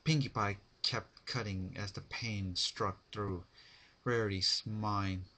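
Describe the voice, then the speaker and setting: Casting: male narrator for horror fan fiction, low-key amateur storytelling, slow, halting, rough-edged and bright. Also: fairly even intonation. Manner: halting, stumbles over words.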